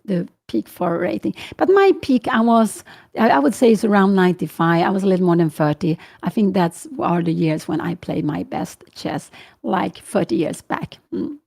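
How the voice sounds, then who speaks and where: A woman's voice: fairly low pitch, moderate pace, creaky, a little mellow. A woman in her sixties with a Swedish accent, giving live chess commentary and answering questions, thinking aloud with many fillers and self-corrections. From Sweden. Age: 60s.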